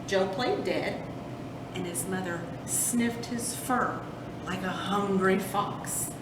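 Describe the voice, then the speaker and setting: A woman narrating a children's storybook as a storytime host, deliberately, with dramatic emphasis on action words. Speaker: storytime host reading a picture book. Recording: roomy, distant mic with rumbling noise.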